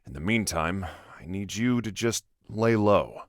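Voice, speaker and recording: An actor playing a protective adult American cop in a video game, sarcastic and exasperated, with a dry conversational manner. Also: male voice, fairly low pitch, animated, a little slow, dry recording. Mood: steady, encouraging. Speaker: police officer character in a video game.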